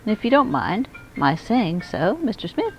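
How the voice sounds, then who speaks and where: Woman reading as an earnest, lonely storybook gentleman, animated. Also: medium pitch, moderate pace, gravelly, dull audio, soft background music, voice pushed up. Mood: polite, friendly. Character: shy lonely man, brightening with wonder and politeness. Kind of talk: storybook character voice.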